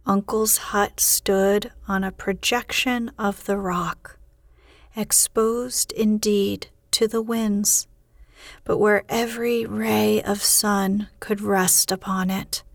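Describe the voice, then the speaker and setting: A woman hosting and narrating a bedtime podcast in a soothing, unhurried, hushed way. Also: mid-range voice, little pitch movement, vocal fry, dry recording. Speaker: podcast host and bedtime story reader. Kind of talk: slow sleep-podcast narration.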